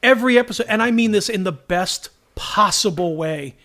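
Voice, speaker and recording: Male podcast host, high bright voice, casual and enthusiastic entertainer. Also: normal speed. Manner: enthusiastic, animated, laughs readily. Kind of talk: casual chat.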